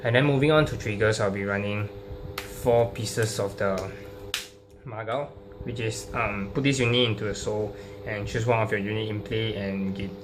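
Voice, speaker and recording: A man informally explaining a card deck, halting and hesitant, often repeating his phrases. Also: fairly low pitch. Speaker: trading card deck profile presenter. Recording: clearly noisy recording with hum.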